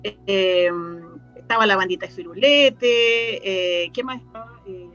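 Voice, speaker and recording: A woman's voice: medium pitch, a little slow, a bit bright, dull audio, faint music behind. A woman with a Chilean accent chatting casually as an interview guest, searching for her words as she goes. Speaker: interview guest, radio drama writer and director. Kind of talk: casual reminiscing conversation.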